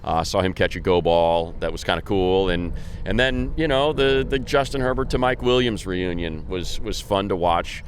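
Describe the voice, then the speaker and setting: Male sports announcer, low register, casual and rambling conversational delivery, slight background noise. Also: animated, normal speed, hardly any pauses. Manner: colloquial, rambling, thinking aloud. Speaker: sports co-host and play-by-play announcer.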